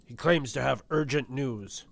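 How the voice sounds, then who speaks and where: A man's voice: medium pitch, measured pace, creaky, fairly bright tone. A man playing a formal royal attendant making announcements in a fantasy audio drama.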